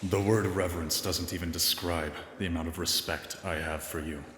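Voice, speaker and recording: A man's voice: sits low, fairly even intonation, normal speed, a bit bright. A man voicing a solemn, reverent animal leader with a formal, earnest manner in a fan dub. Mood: respectful, measured. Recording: echoey hall, faint background noise.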